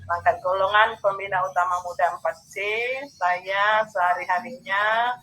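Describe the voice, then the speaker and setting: A woman's voice: medium pitch, fairly even intonation, moderate pace, sharp tone, soft background music, poor audio. A woman in her fifties from North Sumatra leading an online class in a friendly way, often addressing her listeners directly over a video call. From North Sumatra, Indonesia. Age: 50s. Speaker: online training facilitator. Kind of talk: friendly discussion facilitation.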